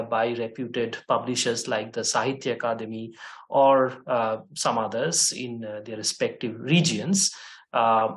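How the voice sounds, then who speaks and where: A man with a mid-range voice talks through a point in an online course discussion. He hesitates and restarts often, filling his pauses with "uh". English is his third language. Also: medium pitch. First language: not English. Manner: hesitant, many fillers and restarts. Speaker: course instructor.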